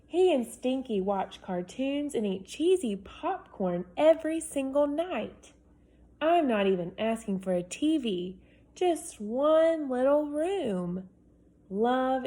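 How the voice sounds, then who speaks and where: A woman's voice: high-pitched, sing-song, takes it slowly, dark tone, voice pushed up, exaggerated voice. A woman voicing a pushy young boy who writes letters begging his dad for his own room, whiny and overdramatic. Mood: pleading.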